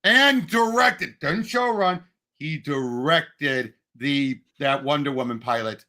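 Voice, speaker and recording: A man with a high voice that carries a gravelly creak. He co-hosts a casual discussion in an animated, opinionated way, with a sharp edge to his tone and some groping for words. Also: slow pace. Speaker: podcast co-host. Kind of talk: casual pop culture discussion.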